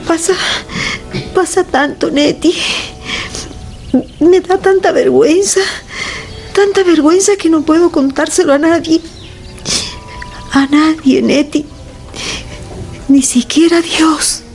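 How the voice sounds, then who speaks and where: A woman's voice: sits high, slow, long pauses, darkish tone, faint music behind. An actress playing a wistful young woman who confides in a friend, repeating her phrases, in a radio drama. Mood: ashamed, anguished.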